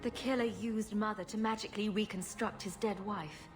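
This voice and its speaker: An actress playing a sarcastic yet loyal young rogue in a video game, dry and sardonic. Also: female voice, medium pitch, steady tone, moderate pace, music in the background, close to an everyday voice. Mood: terse. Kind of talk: dramatic video game acting.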